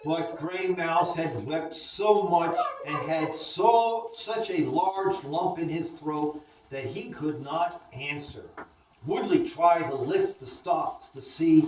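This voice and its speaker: A woman with a fairly low voice reads a children's story at a slow pace. She sounds distant in an echoey room with faint noise.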